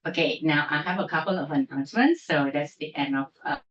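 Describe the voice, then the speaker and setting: A woman's voice: sits low, brisk, fairly bright tone, a little hiss or hum. A woman from Indonesia giving a prepared closing speech at an academic symposium, careful and restarting on long words. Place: lecture hall. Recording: echoey lecture hall, distant mic, muffled.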